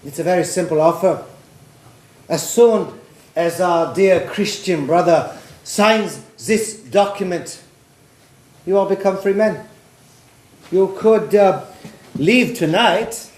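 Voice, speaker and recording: Slow, menacing Nazi captain played by a man. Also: high-pitched, darkish tone. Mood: mocking, coaxing. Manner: deliberate, mocking, grandiose. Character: menacing, taunting, theatrical Nazi secret police captain. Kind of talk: stage play acting. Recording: echoey stage recording with noise.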